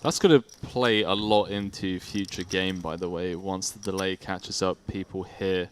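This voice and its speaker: A man with a low voice calls the action on a live poker broadcast, brisk and analytical, with a faint hiss behind him. He talks through hands and stack sizes in a chatty flow. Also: fairly even intonation. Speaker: poker commentator. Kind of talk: live play-by-play commentary.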